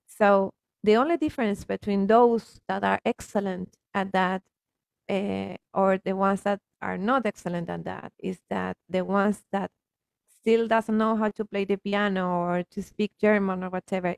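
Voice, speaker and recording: Casting: Argentine-accented woman, native Spanish speaker, earnest coaching talk, mid pitch, gravelly fry, dry close sound. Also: no room sound. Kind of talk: reflective coaching conversation.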